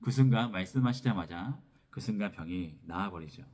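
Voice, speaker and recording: A man's voice: sits low, lively intonation, normal speed, darkish tone. A man preaching an expository sermon as a pastor, repeating phrases as he explains, his throat sore from a cold. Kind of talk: expository sermon with scripture reading.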